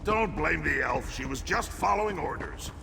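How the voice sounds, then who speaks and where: A man playing a gruff, plain-spoken orc army commander in a video game, with a gravelly voice. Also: on the high side, expressive, moderate pace, in a large hall, over music, rough recording. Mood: approving, calm. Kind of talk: video game dialogue acting.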